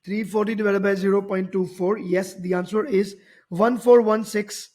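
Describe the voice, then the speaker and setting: A man's voice: high voice, steady tone, moderate pace, a little mellow. A man teaching physics, explaining a worked problem step by step and often repeating and rephrasing his points.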